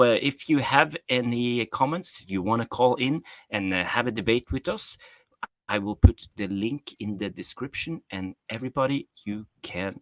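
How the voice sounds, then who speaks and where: An upbeat man co-hosts a live fan call-in stream, reading viewer comments aloud with plenty of "uh" pauses. His voice is bright and forceful, and his English phrasing is slightly non-native. The sound is dry, with no room around it. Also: on the low side, no echo. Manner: upbeat, with frequent "uh" hesitations. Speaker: co-host of a fan call-in show.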